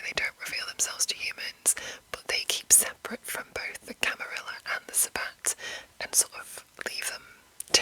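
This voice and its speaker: A woman whispering softly and unhurriedly in an ASMR style, explaining things in a loose, rambling way with drawn-out pauses. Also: low-quality recording. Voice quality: breathy unvoiced whisper. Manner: slow, hushed, drawn-out pauses. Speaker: ASMR host introducing a roleplaying game.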